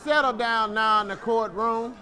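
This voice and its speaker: A man's voice: very high-pitched, very noisy. An American middle-aged man playing a folksy, chiding judge in a comedy courtroom show. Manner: slow, admonishing. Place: courtroom, seated at the bench.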